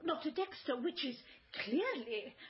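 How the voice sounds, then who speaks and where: Genteel gushing lady, slow, high, effusive, easily flustered, period radio comedy, dull recording. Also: animated. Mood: swooning, excited. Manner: breathless, fluttery, stammering, effusive. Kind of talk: comic period radio drama acting.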